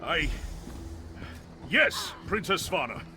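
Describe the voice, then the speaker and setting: A man's voice: fairly high pitch, very animated, takes it slowly, over music. A man playing a bitter, betrayed warrior commander in a fantasy video game, with an intense, dramatic delivery. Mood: bitter, despairing.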